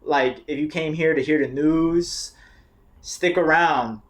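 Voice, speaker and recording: A man's voice: on the high side, slow. A man with an American accent hosting a podcast from a house, talking in loose, profane banter. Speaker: podcast host. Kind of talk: casual chat. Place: grandparents' house, indoors.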